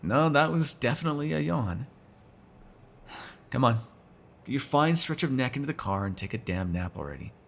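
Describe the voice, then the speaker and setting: A sardonic male vampire, played by a male voice actor in an intimate roleplay audio. His voice is husky and crackles with fry, and it rises and dips freely as he needles and swears. Beneath the sarcasm he is both predatory and fond. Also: mid-range voice, big pitch swings, normal speed, pauses often, fairly bright tone, exaggerated voice. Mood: teasing, exasperated. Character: snarky, teasing male vampire captive, predatory yet fond. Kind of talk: ASMR roleplay acting.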